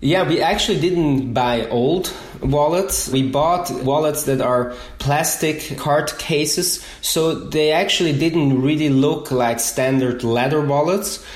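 Male guest with a Swiss accent, measured and explanatory, in a roomy echoing space. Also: a little high. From Switzerland. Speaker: researcher guest. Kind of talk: interview answers.

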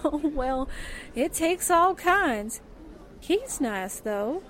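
A woman's voice: high voice, very animated, drawn-out words, a bit bright, some chatter behind, exaggerated voice. A woman playing a brash, wide-eyed newspaperwoman sidekick, slangy and exclaiming, in 1940s radio drama style. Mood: curious, breezy.

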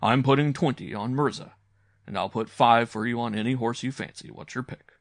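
Man acting a hearty, teasing uncle, creaky voice. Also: medium pitch, fairly quick, no echo, studio quality, natural voice. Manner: hearty, amused, patronising. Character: jovial, teasing English uncle, grown man.

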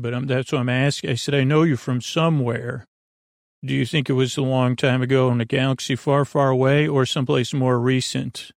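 A man hosting a sleep podcast in a meandering, halting solo monologue, often trailing off mid-thought, with a gravelly, creaky voice. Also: mid-range voice, no echo, clean studio sound. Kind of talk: rambling, digressive solo monologue.

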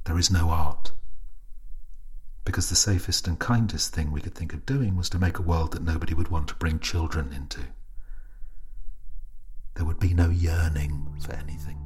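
A man acting a weary, sad exiled shopkeeper in an audio drama, speaking very quietly and breathily with gentle, insistent resignation. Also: low-pitched, steady tone, normal speed, pauses often. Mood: solemn, resigned. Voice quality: very quiet, breathy near-whisper.

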